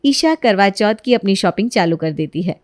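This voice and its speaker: A woman's voice: mid-range voice, animated, moderate pace, no room sound, studio quality. A woman storyteller reading scripted narration for a family tale.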